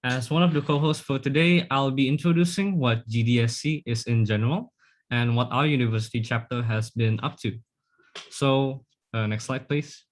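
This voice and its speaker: A man's voice: medium pitch, steady tone, moderate pace. Young guy presenting slides on a call, orderly, mid-range voice. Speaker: student club lead.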